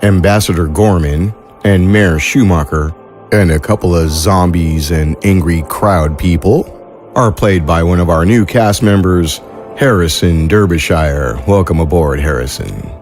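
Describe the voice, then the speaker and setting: A man's voice: sits low, unhurried, darkish tone, soft background music. A man announcing audio drama credits with playful, folksy showmanship.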